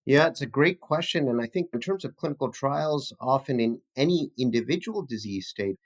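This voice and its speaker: A man's voice: medium pitch, animated, moderate pace. A man answering as a physician researcher in an interview, formal and explanatory in manner.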